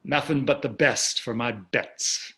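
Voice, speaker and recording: A man's voice: medium pitch, slow, gravelly, background noise. A man acting a wealthy, breezy, self-satisfied husband in a play reading, with a chatty delivery. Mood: pleased. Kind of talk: staged play reading over video call.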